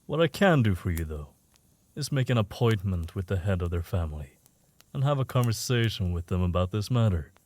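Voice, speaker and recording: A man's voice: low voice, lively intonation, fairly quick, a little mellow. A man playing a courteous, self-assured underworld informant in an audio roleplay, talking in a polite, formal way. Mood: suave, composed.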